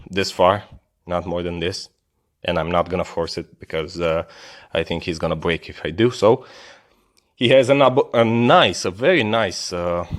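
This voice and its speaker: A low-pitched man with an Italian accent talks through a review in a casual, unscripted way. His English is that of a native Italian speaker, hesitant and halting. He comes across as an apologetic, informal video host. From Italy.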